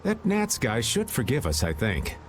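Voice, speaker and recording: A man's voice: medium pitch, huge pitch swings, moderate pace, slight background noise. A man playing a roguish, easygoing middle-aged rascal in a video game, playful and world-wise. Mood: sly, resigned. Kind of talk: video game dub acting.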